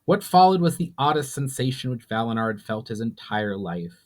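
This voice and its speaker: A man's voice: mid-range voice, big pitch swings, fairly quick, a little mellow. A man reading his own fantasy book as a dramatic audiobook narration.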